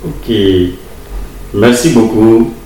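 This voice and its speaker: A man answering interview questions in a formal, deliberate way, repeating words as he explains. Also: mid-range voice, warm tone. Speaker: interviewee, university teacher of German and English. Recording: roomy distant recording with faint ambient noise.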